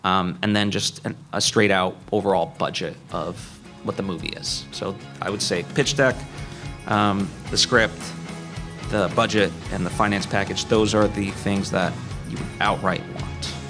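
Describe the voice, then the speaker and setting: A man's voice: deep voice, steady tone, unhurried, over music. A man speaking as a panelist at a live convention, methodically explaining things point by point. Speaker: panelist, indie animation filmmaker.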